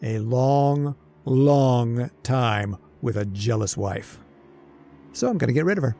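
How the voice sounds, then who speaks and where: A man's voice: mid-range voice, expressive, slow pace, dark tone, faint music behind. A man playing a henpecked, jittery immortal husband in an old-time radio drama, confiding his story with stammering, plaintive asides. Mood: weary, confiding. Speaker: actor. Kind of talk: old-time radio horror-comedy acting, first-person narration.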